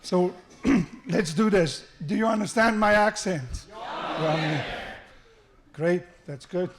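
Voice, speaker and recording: A man's voice: high-pitched, takes it slowly. A middle-aged man with a South African accent giving a joking father-of-the-groom wedding speech, with frequent restarts, into a microphone at a reception. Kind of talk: wedding toast. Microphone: handheld microphone through the sound system.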